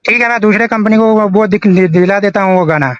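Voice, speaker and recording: A man's voice: high-pitched, quick pace, no echo, highs cut off. A man disputing a payment in a heated phone argument, persistently protesting and questioning, on a recorded call.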